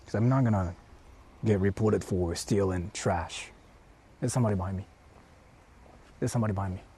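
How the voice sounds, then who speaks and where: A young man, walking around outdoors and chatting casually with livestream viewers, often speaking in a hushed, murmuring voice. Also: lowish voice, a little fast, slight background noise. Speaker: vlogger streaming while exploring. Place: outdoors walking through an empty housing estate.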